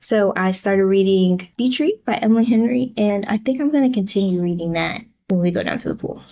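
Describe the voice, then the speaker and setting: A woman's voice: medium pitch, fairly quick, dark tone. An American woman chatting casually to camera as a book vlogger, in a laid-back, conversational way.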